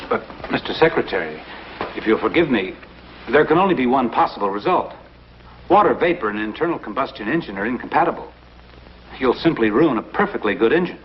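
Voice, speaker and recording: A man's voice: medium pitch, sing-song, fairly quick, lightly acted. An actor playing a skeptical but deferential male technical expert in an old television drama, formal and insistent. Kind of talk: mid-century American television drama acting. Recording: dull, noisy vintage TV soundtrack.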